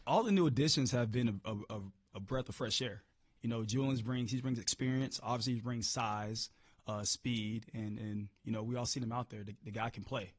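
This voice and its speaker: A hoarse-voiced man coaching football, answering press questions upbeat, often repeating words as he restarts. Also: medium pitch, fairly quick, no echo, clean studio sound. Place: media room.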